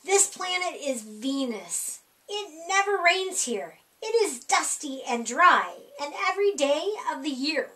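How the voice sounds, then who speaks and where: A middle-aged woman reading a rhyming children's picture book aloud in an animated, sing-song, deliberate way for young kids. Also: sits high, a little hiss or hum. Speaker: library storytime reader. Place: small indoor room.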